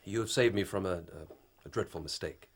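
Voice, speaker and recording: A deferential police inspector. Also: male voice, low voice, a little fast, husky. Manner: polite, eager and deferential.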